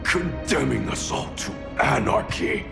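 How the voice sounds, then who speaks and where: An actor playing a defiant, shrewd captive warrior in a video game, speaking tersely and grimly. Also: male voice, mid-range voice, big pitch swings, measured pace, gravelly, exaggerated voice. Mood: grim, probing. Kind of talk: cinematic video game acting. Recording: music behind the voice. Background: dramatic music.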